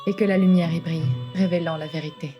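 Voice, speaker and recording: A woman's voice: lowish voice, steady tone, fast, over music, lightly acted. A woman in an anime fan dub voicing a solemn, mystical guide.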